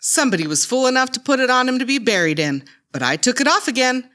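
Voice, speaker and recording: A brazen old-time charwoman and thief, played by a woman, with a bright, forceful, very lively voice. She is scornful and defiant, talking roughly in audio drama style. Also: high-pitched, quick pace. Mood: boastful, scornful. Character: brazen, unrepentant thieving charwoman, Mrs. Dilber.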